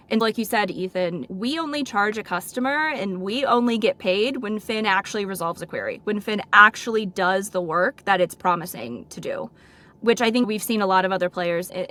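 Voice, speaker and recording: A woman's voice: medium pitch, fairly bright tone. A woman speaking as an interview guest, explaining product decisions in an informal, conversational way with frequent "like". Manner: informal, peppers speech with "like" and "right?".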